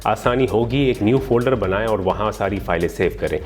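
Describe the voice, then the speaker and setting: A man's voice: on the low side, brisk, a little mellow. A man hosting an instructional phone tutorial, explaining steps to viewers in a polite, formal way. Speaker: tech tutorial host. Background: background music.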